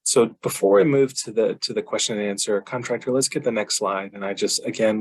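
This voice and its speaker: Man, webinar moderator, formal and grateful, somewhat low voice, video call. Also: rough recording. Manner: warm, formal thanks with repeats. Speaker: session moderator.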